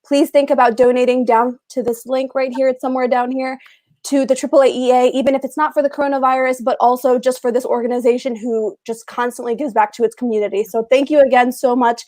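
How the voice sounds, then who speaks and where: Woman, event organizer, closing remarks, earnest, slow, steady tone, dry sound. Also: sits high, no room sound. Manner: earnest, thankful, speaks slowly. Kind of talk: closing thanks and donation appeal.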